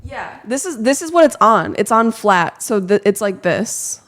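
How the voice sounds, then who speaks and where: A woman's voice: medium pitch, sing-song, unhurried, fairly bright tone. A young woman streamer chatting casually, self-deprecating and fussing with her microphone setup. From lives in Texas. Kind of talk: casual live-stream chat.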